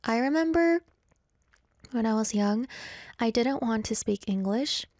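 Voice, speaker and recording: Young woman DJ from Canada, Korean first language, chatty rambling host, mellow voice, dry close mic. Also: on the high side, lively intonation, unhurried, dry recording. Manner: rambling, chatty, self-deprecating. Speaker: radio DJ. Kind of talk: casual chat. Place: indoors in South Korea.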